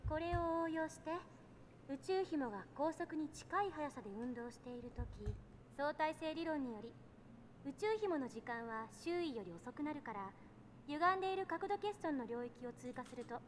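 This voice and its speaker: Kurisu, fast high-voiced teen genius. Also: female voice, expressive, slight background noise. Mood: lecturing, assured. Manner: composed, explanatory lecturing. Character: self-assured eighteen-year-old genius girl lecturing on physics. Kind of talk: Japanese visual novel voice acting.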